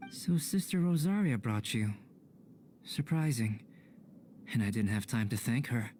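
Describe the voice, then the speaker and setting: An actor playing a calm, politely detached young male alchemist with measured, scholarly speech in a fantasy game. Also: mid-range voice, a little hiss or hum, close to an everyday voice. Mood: polite, curious. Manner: measured, scholarly, formal phrasing. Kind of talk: scripted fantasy game dialogue.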